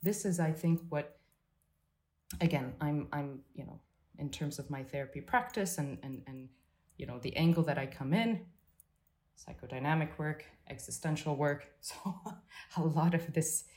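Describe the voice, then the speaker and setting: A woman psychotherapist speaking as an interview guest, thoughtful and halting, with many restarts and fillers. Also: deep voice, darkish tone. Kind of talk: reflective conversational interview.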